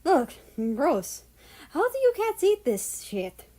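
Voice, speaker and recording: A woman reading the part of an impatient, gruff man scolding his cat in a storybook narration. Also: high voice, huge pitch swings, slow pace, warm tone, pitched above the performer's own voice, heavily acted. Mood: exasperated. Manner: impatient, commanding. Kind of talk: fan fiction audiobook character voice.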